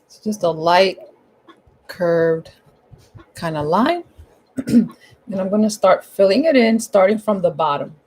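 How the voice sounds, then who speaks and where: A woman with a hoarse, husky voice giving a casual, chatty step-by-step painting tutorial at home, repeating her instructions in informal language. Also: medium pitch, animated, slow, pauses often, a little mellow.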